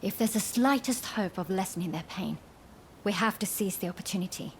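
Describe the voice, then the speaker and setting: A woman's voice: medium pitch, fairly quick, slight background noise, natural voice. A woman voicing a compassionate, resolute doctor in a video game, earnest and polite.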